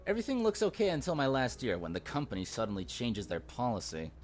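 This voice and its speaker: A sardonic young man, lively pitch. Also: fast talker, soft background music. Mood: bitter, guilty. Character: guilt-ridden young man, a bitter house employee. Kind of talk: video game voice acting.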